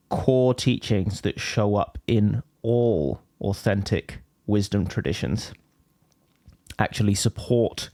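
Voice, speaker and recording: A man leading a guided meditation as a teacher, speaking in measured, deliberate phrases with frequent pauses. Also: on the low side, a little mellow, dry recording, clean studio sound.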